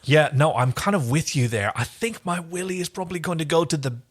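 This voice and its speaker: A man's voice: on the high side, a little fast. A man hosting a casual TV discussion podcast, playful and prompting his co-host along.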